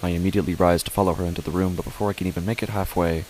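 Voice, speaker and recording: A man playing an uneasy father who narrates his own horror story in a deliberate, measured way with dramatic pauses. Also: sits low, little pitch movement, a little mellow, slight background noise, close to an everyday voice, rough recording. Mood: grave, uneasy. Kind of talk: scripted first-person horror narration.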